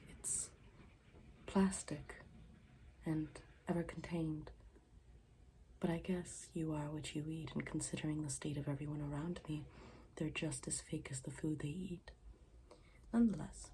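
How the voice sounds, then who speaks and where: A woman playing a morbid, deadpan schoolgirl in an ASMR roleplay, speaking softly and formally, often slipping into a whisper. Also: low voice, slight background noise. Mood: dry, disdainful. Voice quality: soft, breathy, often dropping into whisper. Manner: deadpan, formal, deliberate wording.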